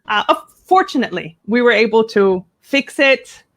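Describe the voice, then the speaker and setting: A woman from the United States, a guest English coach, telling workplace stories in an animated way and acting out the quoted lines in a higher voice. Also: normal speed. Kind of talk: conversational storytelling interview.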